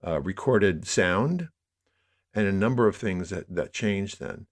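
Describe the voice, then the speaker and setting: Older man, lowish voice, reminiscing haltingly. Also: animated. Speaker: podcast guest, media producer. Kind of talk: conversational interview storytelling.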